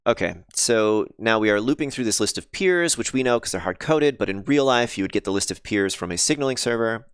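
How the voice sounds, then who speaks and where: A man thinking aloud on a casual live coding stream, pausing with ums and chatting with viewers, his voice slightly scratchy and sniffly. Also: fairly low pitch, animated, clear, resonant tone, no room sound, studio quality. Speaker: game developer streaming live.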